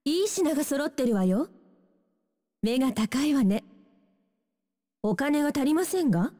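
A woman's voice: high voice, sing-song, unhurried, mellow, in a large hall. An actress voicing a polite young female shopkeeper in a Japanese video game, giving courteous, neat short greetings. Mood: courteous, inviting.

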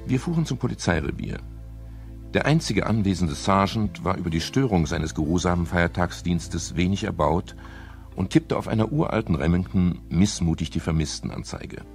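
An actor playing a wry, world-weary private detective in a radio crime drama, with a gravelly voice and dry, unhurried manner. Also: male voice, deep voice, darkish tone. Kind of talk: 1970s German radio crime drama acting and narration.

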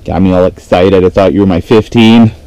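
A man giving low-key, casual running commentary to himself as he fishes, recorded on a body-worn action camera. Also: fairly even intonation, a little fast, clean tone, no room sound. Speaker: fishing vlogger. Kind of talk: casual running commentary while ice fishing. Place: small ice-fishing shelter on a frozen lake, sometimes out on open ice. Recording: dark muffled sound, background noise.

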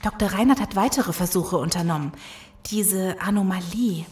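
Actress as earnest scientist, female, mid-pitched, audio drama, echoey space. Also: normal speed, darkish tone, lightly acted. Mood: serious. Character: earnest scientist woman explaining a zombie virus. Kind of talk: German audio drama acting. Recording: echoey room sound.

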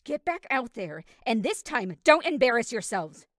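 A woman's voice: a little high, sing-song, moderate pace, fairly bright tone, no room sound. A woman voicing a furious female cartoon villain boss, barking scornful insults in a dry studio. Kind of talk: character voice reel, cartoon acting. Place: treated home studio. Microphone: studio condenser microphone.

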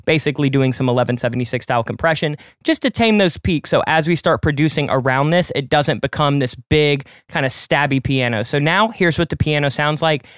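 Fast-talking young man explaining production. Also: a little high, fairly bright tone, no room sound, clean studio sound. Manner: quick, conversational, explains each step. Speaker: music producer and channel host. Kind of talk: screen-recorded production tutorial. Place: home studio with colored lights.

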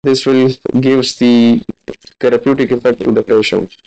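A man in his thirties giving a halting, instructional practical demonstration as a physiotherapy teacher. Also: medium pitch, level tone, vocal fry, warm tone. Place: large indoor classroom clinic room. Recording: dull, lo-fi camera audio.

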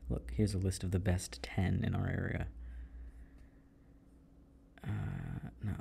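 A young man in a casual boyfriend roleplay, chatting softly while he works at his computer and often trailing off into mutters and low laughs. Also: very animated, slow, creaky, a little hiss or hum. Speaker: audio roleplay performer. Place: at home at a computer.

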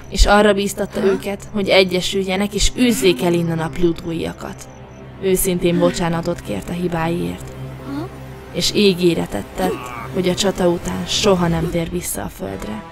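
A woman narrator reading a scripted fairy tale for an animated story. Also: fairly low pitch, gravelly, music in the background.

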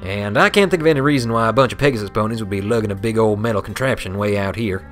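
A man's voice: fairly low pitch, fast talker, a bit bright, faint music behind, lightly acted. A man voicing a friendly, curious cowboy pony with a folksy way of talking in a storybook. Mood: puzzled.

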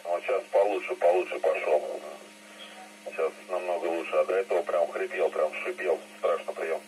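Male ham radio operator, very low voice, informal chat through a humming, noisy FM link. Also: fairly even intonation, moderate pace. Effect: voice heard over two-way radio.

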